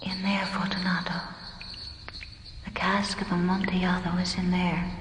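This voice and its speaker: A woman's voice: fairly low pitch, flat delivery, a little slow, noisy background, lightly acted. An actress playing an uneasy, haunted woman space scientist in an audio drama. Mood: eerie, hushed. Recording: loud music under the voice.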